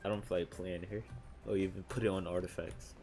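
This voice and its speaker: A man's voice: deep voice, steady tone, brisk, darkish tone. A man giving casual, chatty commentary while he plays a video game. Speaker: gamer. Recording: game music behind the voice. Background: video game music.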